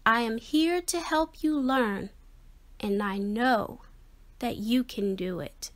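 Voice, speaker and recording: A woman's voice: on the high side, takes it slowly. A woman voicing a kind, encouraging female fish schoolteacher in a storybook, reassuring in manner.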